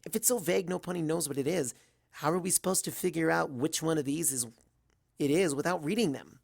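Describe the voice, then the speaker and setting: A man's voice: on the high side, fairly quick, gravelly. A man voicing an anxious, fussy, bookish pony with a questioning manner in a story reading. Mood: suspicious, stubborn.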